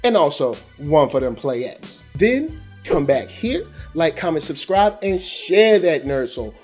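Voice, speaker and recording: A man's voice: a little high, big pitch swings, slow, a little mellow, faint music behind. An American man hosting an animation review show, talking casually and in slang straight to his viewers. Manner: conversational, slangy, addressing viewers directly. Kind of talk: casual review commentary to camera.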